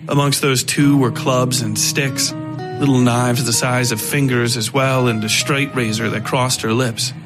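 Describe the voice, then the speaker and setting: Male narrator for dark fiction podcast, medium register, measured storyteller, music bed. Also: mid-range voice, flat delivery. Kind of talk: scripted horror fiction narration.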